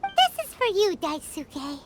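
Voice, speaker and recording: A woman voicing a tiny, cheerful, boastful fairy companion in a video game, squeaky and childlike. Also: huge pitch swings, slow pace, very noisy, full character voice. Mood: gentle. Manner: speaks of self in third person. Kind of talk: English dub video game acting. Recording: loud game music behind voice.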